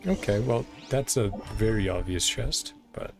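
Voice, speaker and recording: A man's voice: on the low side, unhurried. A man giving casual gameplay commentary, thinking aloud in short, musing fragments. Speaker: gamer commenting on own playthrough. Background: game music.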